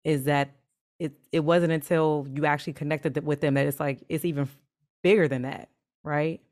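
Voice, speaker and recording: A woman's voice: low voice, steady tone, normal speed, darkish tone. A woman hosting a podcast, interviewing her mentee and affirming her answers with frequent encouragement. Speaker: podcast host, mentor.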